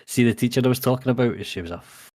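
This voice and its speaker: A man's voice: medium pitch, rapid-fire, a little mellow, dry recording, studio quality. A man chatting casually on a group voice call, joking about himself and swearing in passing.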